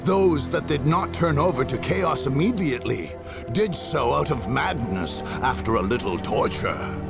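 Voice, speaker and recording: An actor playing a grave war chronicler, narrating a video game campaign with theatrical, portentous pauses and a husky, gravelly voice. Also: male voice, medium pitch, expressive, loud music behind the voice. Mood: ominous, triumphant. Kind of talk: dramatic scripted game narration.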